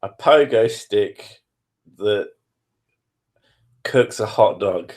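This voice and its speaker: A man's voice: lowish voice, drawn-out words, a little mellow. A man chatting casually with his partner, joking and swearing a lot and laughing often. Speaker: streamer.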